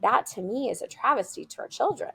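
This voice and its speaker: A woman's voice: fairly high pitch, expressive, a little fast, vocal fry, breathy edge, poor audio. A woman, a school founder, answering interview questions in an earnest, emphatic way, often restarting her words. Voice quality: loud voice. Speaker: school founder, podcast guest.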